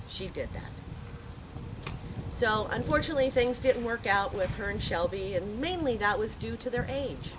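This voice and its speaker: Woman giving a eulogy, noisy outdoor recording. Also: medium pitch. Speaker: eulogy speaker at a memorial. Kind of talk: heartfelt eulogy to a gathering. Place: outdoor park memorial gathering. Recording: noisy outdoor park ambience.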